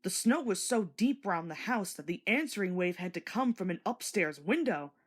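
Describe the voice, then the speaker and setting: Female storybook narrator: mid-pitched, very lively intonation, expressive scripted read. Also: normal speed, breathy edge.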